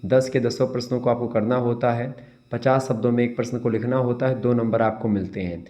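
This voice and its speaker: A man's voice: mid-range voice, steady tone, fairly quick, mellow. A man with a sore throat giving an exam-prep lecture, repeating key terms and answering his own questions. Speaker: teacher. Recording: dull lo-fi sound.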